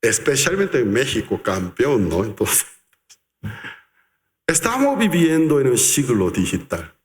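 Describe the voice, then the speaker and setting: Male pastor, unhurried lively sermon delivery, reverberant church hall sound. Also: medium pitch. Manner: animated, acts out quotes, slows for emphasis.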